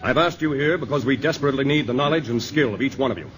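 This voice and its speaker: An actor playing a stern army general briefing officials in a 1950s radio drama, grave and commanding. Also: male voice, medium pitch, racing, fairly bright tone, lightly acted, low-quality recording. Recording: old radio broadcast with faint hiss.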